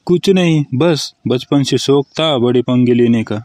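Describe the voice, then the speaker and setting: A man's voice: medium pitch, unhurried, very noisy, natural voice. A man playing a sarcastic, teasing husband in scripted comedy cartoon skits. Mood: dry, teasing.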